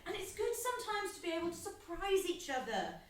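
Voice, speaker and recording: A woman's voice: extremely high pitch, big pitch swings, fairly quick. Echoey, guarded young woman. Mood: irritated, frank. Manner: frank, dry, reserved. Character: blunt, guarded young woman, cooler best friend. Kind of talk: naturalistic audio drama acting. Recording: very echoey, distant, faint noise.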